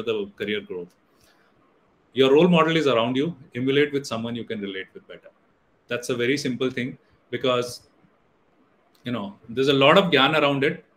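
A man's voice: medium pitch, a bit bright, a little hiss or hum, low-quality recording. A middle-aged Indian man, a native Hindi speaker, gives an online guest lecture in English with many fillers and restarts. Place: indoor room on a video call. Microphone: webcam or laptop mic on video call.

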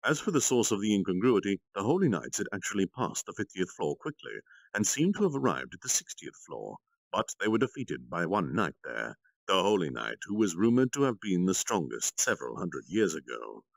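A man reading a story aloud on a livestream, with expressive narration and dramatic, measured phrasing. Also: low-pitched, creaky, dry recording, low-quality recording. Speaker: storytime streamer, reader.

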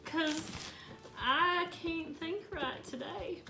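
Silly theatrical lady doing a craft video at home, high voice, folksy, music playing. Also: very slow, gravelly. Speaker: craft video host, mother. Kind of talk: playful homemade craft demonstration, casual chat. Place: small room at home. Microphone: camera or phone a short way off.

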